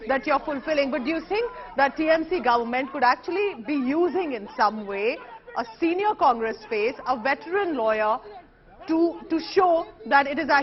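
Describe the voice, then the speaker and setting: A woman presents the news live as an anchor. Her voice is high and her delivery is emphatic. The audio is a little dull and lacks top end.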